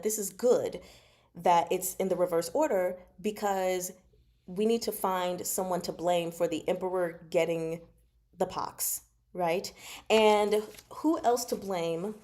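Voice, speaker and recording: A woman giving a casual, chatty book recap as a host, expressive and emphatic, often checking in with the listener. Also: fairly low pitch. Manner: expressive, conversational, emphatic, often checks in with "okay?" and "you know". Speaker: host of a book discussion vlog.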